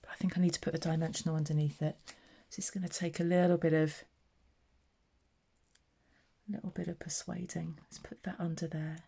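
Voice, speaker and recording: A woman chats away as she works through a papercraft tutorial, describing each step aloud. Her voice sits low and sounds mellow and unhurried. The talk is casual and friendly in a how-to way. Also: flat delivery, gravelly, slightly rough. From southeast England. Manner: chatty, narrating her own hands-on work. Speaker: papercraft demonstrator. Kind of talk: casual craft tutorial chat.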